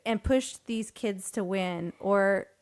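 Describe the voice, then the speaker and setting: A woman's voice: mid-range voice, slow pace. A woman co-hosting a podcast in casual chat, chatty, laughing between words and hedging with fillers. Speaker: podcast co-host.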